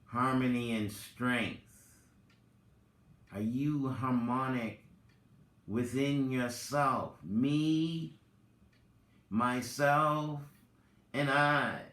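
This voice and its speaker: A man giving a dramatic reading, theatrical and deliberate, with drawn-out phrases and long pauses. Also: medium pitch, a bit bright. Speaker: reader and commentator, presenter of the recording. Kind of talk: dramatic reading with informal asides. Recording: roomy, echoing sound.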